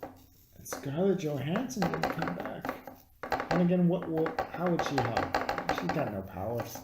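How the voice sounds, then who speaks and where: A man's voice: fairly high pitch, animated, a little slow, heavy background noise. A man who has had some beers, chatting casually as a co-host reviewing a film, with slangy talk and free swearing. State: has been drinking beer. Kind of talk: casual chat. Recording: echoey, roomy sound.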